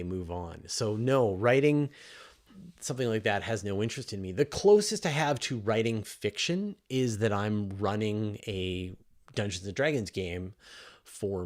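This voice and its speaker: Low-pitched man answering questions casually. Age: middle-aged. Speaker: host answering live audience questions on space and astronomy. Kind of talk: casual livestream Q&A, explaining science off the cuff.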